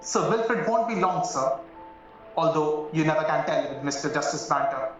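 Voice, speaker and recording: A man acting a courteous, brisk figure from a law chambers in a radio play, speaking formally. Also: on the high side, lively intonation, a bit bright. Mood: deferential. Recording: roomy, distant, muffled, music underneath.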